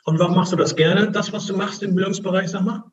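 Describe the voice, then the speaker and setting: A man's voice: high voice, steady tone, fast. A man hosting a podcast and leading a casual, upbeat conversation with his guests. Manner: upbeat and inviting. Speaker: podcast host. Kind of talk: casual chat. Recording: dull lo-fi audio.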